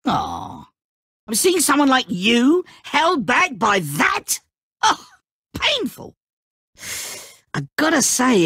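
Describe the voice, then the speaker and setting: A man's voice: extremely high pitch, bright, forceful tone, slightly rough, dry recording, studio quality, exaggerated voice. An actor playing a giddy, taunting, all-powerful snowman trickster in a video game, with a gleeful, mocking, hammy delivery. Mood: sly, taunting.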